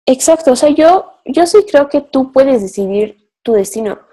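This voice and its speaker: A woman's voice: high voice, lively intonation, normal speed, dark tone. A young woman, co-hosting a podcast and rambling through a casual philosophical chat, thinking aloud with many fillers. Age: young adult. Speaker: podcast co-host.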